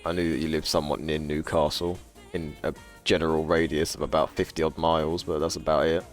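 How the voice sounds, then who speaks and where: A man's voice: flat delivery, brisk, faint music behind. A man in his twenties, chatting casually and profanely to a live stream audience, rambling and laughing often. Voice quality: low voice. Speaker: livestreamer.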